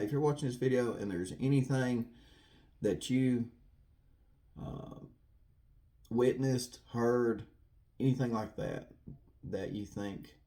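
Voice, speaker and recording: A man's voice: medium pitch, warm tone. A man giving casual, rambling commentary to viewers in an earnest, folksy way, repeating himself for emphasis. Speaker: true crime video host.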